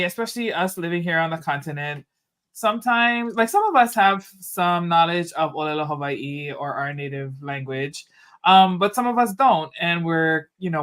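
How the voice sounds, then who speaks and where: A podcast host opening a livestream episode in a relaxed, chatty way, with many ums and restarts. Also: medium pitch. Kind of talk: casual livestream podcast intro.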